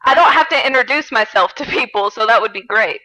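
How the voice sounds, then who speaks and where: A woman co-hosting a podcast in casual comedic chat, joking in an animated, profane way. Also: fairly high pitch, brisk, low-quality recording. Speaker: podcast co-host. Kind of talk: casual comedic chat and fan fiction reading.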